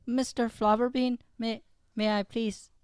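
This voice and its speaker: A man's voice: very high voice, level tone, measured pace, clean tone, dry recording. A man playing an anxious, polite new schoolboy who stammers apologetically in an improvised radio comedy. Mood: nervous, apologetic. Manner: hesitant, nervous, stammering.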